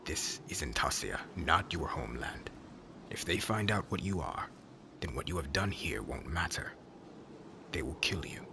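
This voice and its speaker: Man playing menacing witch hunter, very deep, hushed commands, formal, stern, ASMR fantasy roleplay, clear background noise. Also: full character voice. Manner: commanding, formal speech, often hushed and threatening. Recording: clearly noisy ambient background.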